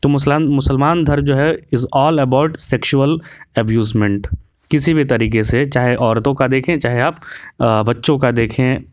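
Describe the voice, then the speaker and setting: Clear-voiced man, dry close recording. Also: mid-range voice, no room sound. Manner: preachy, mixes in English words. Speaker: video commentator. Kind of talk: opinionated monologue commentary.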